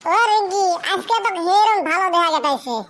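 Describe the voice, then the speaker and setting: A very high, childlike voice put on by a performer for a teasing village youth in a cartoon comedy. The delivery is exclamatory and full of banter. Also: lively intonation, fairly quick, a bit bright, full character voice. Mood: playful.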